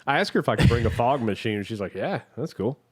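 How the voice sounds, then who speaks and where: A man co-hosting a podcast in casual chat, rambling and halting as he searches for words. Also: medium pitch, expressive, breathy edge. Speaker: podcast co-host.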